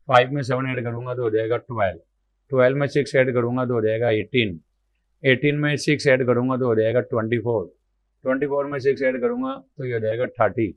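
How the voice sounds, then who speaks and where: A man with a slightly hoarse, mid-pitched voice walks through exam math problems one step at a time, talking to himself as he writes. The sound is dull and lo-fi, recorded dry and close with no room echo. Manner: explains aloud while writing, repeats numbers, asks and answers his own questions. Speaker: math teacher.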